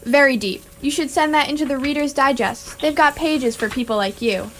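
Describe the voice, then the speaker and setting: Put-upon, exasperated everyman acted by a woman, very high voice, dry sarcasm, radio play. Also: moderate pace, faint music behind, close to an everyday voice. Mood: exasperated, sarcastic. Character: bewildered, indignant ordinary Englishman. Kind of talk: amateur radio theatre acting.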